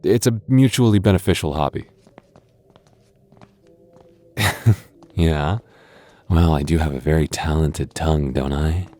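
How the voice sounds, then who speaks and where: A man's voice: extremely low pitch, unhurried, pauses often, warm tone. A man playing a teasing goth boyfriend. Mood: playful. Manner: teasing, stammering, chuckling often. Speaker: voice actor. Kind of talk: ASMR boyfriend roleplay.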